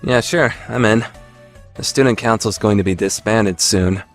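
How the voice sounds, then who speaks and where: A man's voice: fairly low pitch, normal speed, soft background music, close to an everyday voice. A man playing a listless, laid-back teenage student council member in an anime-style radio play. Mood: casual.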